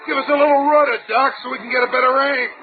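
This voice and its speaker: High-pitched, terse radio-serial pilot. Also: male voice, steady tone, racing. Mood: urgent. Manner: terse, exclamatory. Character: gruff, plain-spoken male pilot adventurer. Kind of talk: old-time radio adventure acting. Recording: tinny narrow-band radio recording, music behind.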